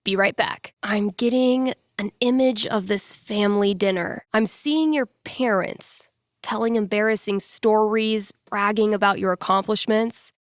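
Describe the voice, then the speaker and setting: A woman's voice: mid-range voice, measured pace, no room sound, studio quality, close to an everyday voice. An actress playing a wry, playful young American woman in a video game, with casual radio DJ patter and a teasing way of talking. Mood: playful, dramatic.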